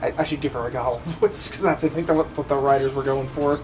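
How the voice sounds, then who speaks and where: A man's voice: medium pitch, fairly even intonation, fast talker, noisy background. A man giving casual, off-the-cuff commentary on a live game stream. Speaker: streamer and amateur voice actor.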